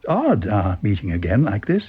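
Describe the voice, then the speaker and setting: Male reader as sly male stranger, breezy, probing, faintly menacing, husky, very varied intonation, storybook drama. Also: fairly low pitch, huge pitch swings, moderate pace, phone-quality sound, pitched below the performer's own voice, full character voice. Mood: breezy, curious. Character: mysterious chatty male stranger, slyly sinister, probing. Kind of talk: dramatised audiobook reading.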